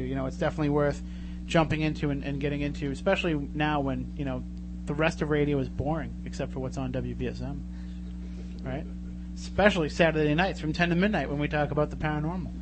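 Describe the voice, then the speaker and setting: Higher-voiced man hosting radio, noisy recording. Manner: joking, bantering. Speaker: radio show host. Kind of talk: casual live radio talk. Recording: noisy live radio broadcast with hum. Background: clear noise and hum.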